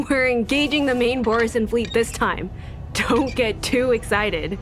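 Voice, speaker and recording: A woman's voice: fairly high pitch, a little slow, lightly acted. A woman voicing a devoted, earnest young woman in a dramatic video game dub. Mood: teasing, fond. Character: gentle, devoted young woman, fighter pilot or pilot's daughter. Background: music.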